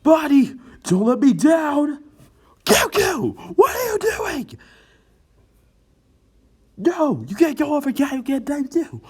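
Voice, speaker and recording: Woman acting as excitable cartoon martial arts fighter, very slow halting delivery, hugely varied pitch, exclamatory, amateur anime dub. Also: heavily acted. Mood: urgent.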